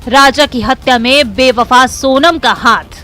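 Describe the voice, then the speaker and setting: A woman's voice: sits high, takes it slowly, bright, forceful tone, soft background music. A woman narrating a scripted crime news report in a dramatic, emphatic manner.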